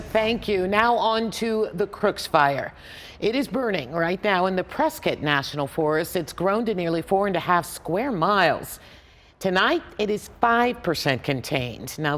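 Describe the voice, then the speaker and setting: A woman news anchor reading scripted stories on television. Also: lowish voice.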